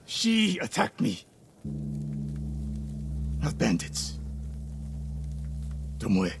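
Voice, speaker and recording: A stern old archery master for a video game, with a rough, gravelly voice. His pitch rises and falls widely, and he keeps his lines clipped and grim, with a faint hiss of noise behind him. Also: huge pitch swings, very slow, heavily acted. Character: stern aging male archery master, gruff and guarded. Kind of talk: video game cutscene acting.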